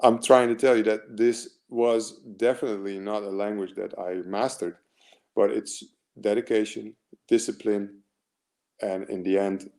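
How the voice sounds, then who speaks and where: A man's voice: on the low side, fairly even intonation, a little slow, dark tone. A man in his forties with an American accent, giving an earnest, rambling motivational talk as a mental performance coach, full of fillers and restarts.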